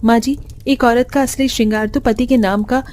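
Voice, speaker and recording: A woman playing a gentle, humble, respectful young bride in a cartoon story. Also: on the high side, steady tone, normal speed, close to an everyday voice. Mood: modest, respectful.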